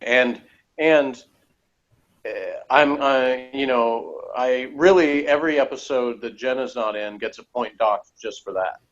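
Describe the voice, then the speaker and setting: A man's voice: medium pitch, unhurried. Male co-host, mid-range voice, casual opinionated review chat, slightly dull recording.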